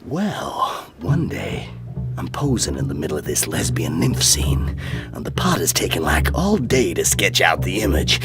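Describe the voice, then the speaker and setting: Swaggering old satyr, gravelly creak, brash salesman patter, self-regarding, comic radio play. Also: sits low, full character voice. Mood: wry, deadpan. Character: bawdy, swaggering aging male satyr, fast-talking hustler. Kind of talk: comedy audio drama acting.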